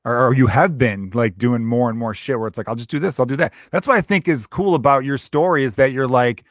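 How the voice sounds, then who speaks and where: Thirties podcast host, easygoing and supportive, dry close mic. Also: male voice, mid-range voice, fairly quick, no room sound. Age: 30s. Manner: friendly, encouraging, talks in loose run-on sentences. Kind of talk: casual chat.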